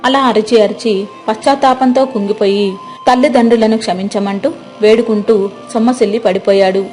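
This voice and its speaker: Mellow-voiced woman story narrator. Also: on the high side, fairly even intonation, a little fast, faint music behind.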